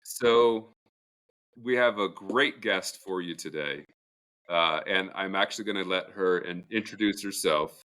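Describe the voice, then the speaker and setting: A man co-hosting a podcast in an informal, conversational way, with halting, pause-filled introductions. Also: low-pitched, sharp tone. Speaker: podcast co-host.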